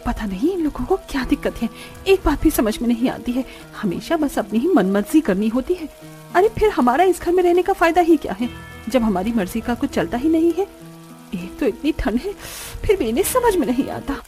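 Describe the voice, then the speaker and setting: A woman's voice: sits high, very animated, brisk, warm tone, faint music behind. A woman voicing a young, overworked village daughter-in-law in a cartoon, grumbling and exasperated. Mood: irritated. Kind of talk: Hindi cartoon story dialogue.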